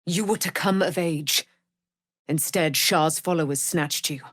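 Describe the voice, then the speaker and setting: Regal female warrior knight for a fantasy game: righteous, vengeful, grand archaic declamation, slow measured delivery. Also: sits low, dry recording, studio quality. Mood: solemn. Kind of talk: theatrical fantasy game voice acting.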